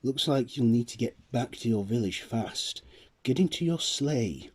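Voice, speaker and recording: A man voicing the Tooth Fairy, a gentle female fairy who collects teeth, speaking softly and kindly. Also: mid-range voice, animated, a little slow, husky, voice pushed up.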